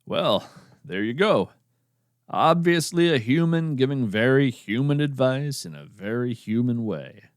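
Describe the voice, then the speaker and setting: A man hosting a podcast with scripted narration in a measured, deadpan manner. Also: mid-range voice, no echo, studio quality. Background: plucked string music.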